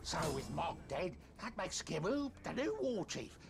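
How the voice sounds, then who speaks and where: A grumbling orc grunt mutters in confusion with a gravelly, fry-laden voice. His pitch swings noticeably, and clear noise sits behind this game creature. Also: sing-song, measured pace, full character voice. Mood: confused grumbling. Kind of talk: video game cutscene acting.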